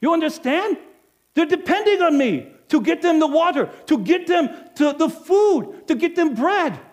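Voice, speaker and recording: A man acting out a middle-aged innkeeper in a dramatic first-person monologue, emphatic and animated, swinging between loud and hushed. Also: on the high side, moderate pace. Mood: guilty. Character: middle-aged male innkeeper, money-minded and guilt-ridden. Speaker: actor.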